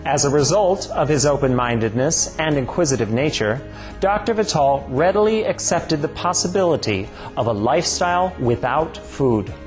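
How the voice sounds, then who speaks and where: A man narrating a documentary, in a measured, scripted style. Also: on the high side, expressive, faint music behind.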